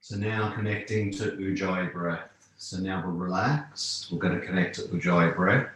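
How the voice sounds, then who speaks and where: A man teaching yoga, guiding relaxation and breathing in calm, unhurried phrases with long pauses. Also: deep voice, slight background noise. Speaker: yoga instructor. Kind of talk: guided yoga relaxation instruction. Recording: very echoey room.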